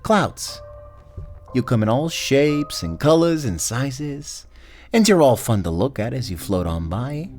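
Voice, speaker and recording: A man's voice: mid-range voice, sing-song, unhurried, a little mellow, soft background music. A man playing a cocky, teasing Grim Reaper in a fantasy audio roleplay, talking like a smug, slangy wise guy. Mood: playful, explaining.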